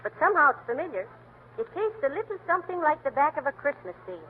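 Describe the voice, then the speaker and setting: A woman's voice: sits high, fast. A woman voicing a dry-witted, patient wife in an old radio comedy. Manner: dry, deadpan wisecracks. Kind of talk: 1930s radio comedy acting. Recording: tinny old radio recording with loud hiss.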